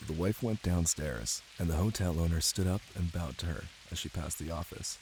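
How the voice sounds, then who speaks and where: A man playing a caring, playful boyfriend who reads tenderly in an intimate ASMR style, with a deep, gravelly voice. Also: moderate pace, background noise. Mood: cozy, teasing. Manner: tender, teasing, close and cozy. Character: caring, playful young boyfriend reading to his partner. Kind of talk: intimate ASMR roleplay and bedtime story reading. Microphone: close microphone. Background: rain ambience.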